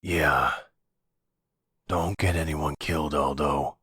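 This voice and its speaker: Man acting as a very deep, husky, weary mafia exile. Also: steady tone, a bit bright, no echo, clean studio sound, heavily acted. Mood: grudging. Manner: resigned, slow, brooding. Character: weary, bitter exiled mafia father on the run. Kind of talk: ASMR audio roleplay drama.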